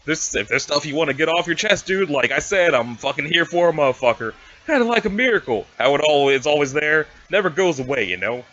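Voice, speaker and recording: A man plays a laid-back, dim alien slacker in an amateur comic drama. He rambles in profane bro slang, with a lively, swooping pitch. The sound is a little dull and lo-fi. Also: fast, hardly any pauses. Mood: friendly, spaced-out. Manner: rambling, slangy, sweary stoner talk.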